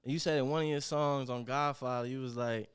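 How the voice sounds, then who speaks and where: A man's voice: medium pitch, fairly even intonation, brisk, clear, resonant tone. Casual slangy podcast host, man. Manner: loose, slangy, rambling questions. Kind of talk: casual interview chat.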